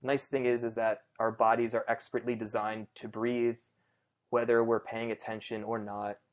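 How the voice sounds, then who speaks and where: A man leading a guided meditation conversationally, in a gentle, reassuring, unhurried way with pauses between phrases. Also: mid-range voice, flat delivery.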